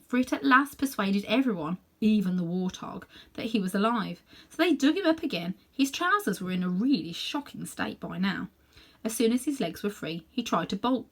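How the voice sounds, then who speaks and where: Expressive woman reading a children's story. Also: mid-range voice. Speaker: storybook reader. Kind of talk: expressive read-aloud narration for children.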